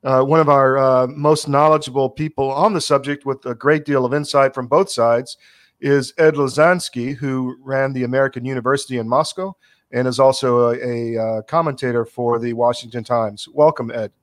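A man's voice: medium pitch, fairly even intonation, moderate pace. Middle-aged male podcast host, conversational. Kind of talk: conversational host talk and personal ad read.

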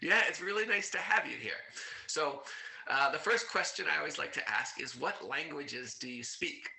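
A man's voice: fairly high pitch, animated, normal speed, vocal fry, bright, forceful tone, rough recording. A man hosting a legal English podcast, reading a scripted intro and then chatting casually with his guest in an upbeat, teacherly way. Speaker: podcast host.

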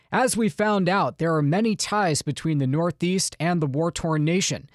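Guy hosting a news podcast, slightly high voice, dead dry studio sound, steady read. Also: no echo. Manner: measured, announcer-like delivery. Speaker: podcast host, news director. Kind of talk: scripted podcast introduction.

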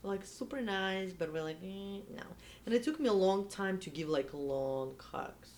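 A woman's voice: mid-range voice, expressive, unhurried, crowd noise. A woman talking casually and earnestly in a conversation, reflective and often checking in with the listener. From Estonia, Eastern Europe. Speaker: interview guest, event organiser.

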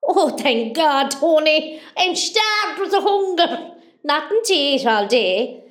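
Woman acting melodramatic irish mam in a radio play, west cork, high and very up and down, chatty. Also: sing-song, takes it slowly, fairly bright tone, heavily acted. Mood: chatty, dramatic. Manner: nosy, melodramatic, folksy. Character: chatty, dramatic Irish mother, patient groggy after surgery.

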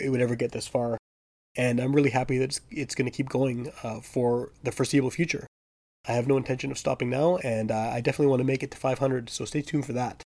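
A man's voice: medium pitch, vocal fry. A man hosting a local music podcast, talking casually with many fillers while losing his voice. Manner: relaxed, rambling with frequent fillers. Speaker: podcast host. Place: basement.

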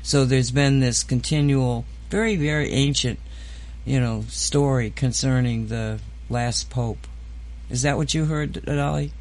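A man's voice: mid-range voice, steady tone, unhurried. A man hosting a casual podcast chat, rambling and hesitant, full of fillers and restarts. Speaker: host.

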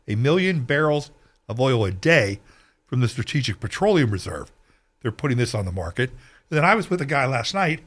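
A man hosting a political talk radio show, arguing his points with frequent throat clearing and tag questions. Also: mid-range voice, fairly bright tone. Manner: argumentative, frequent throat clearing, tags "okay?" and "right?".

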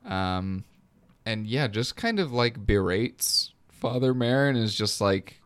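A man's voice: lowish voice, lively intonation, slow pace, clean tone. A man in a casual film discussion, conversational and loosely thinking aloud as a co-host.

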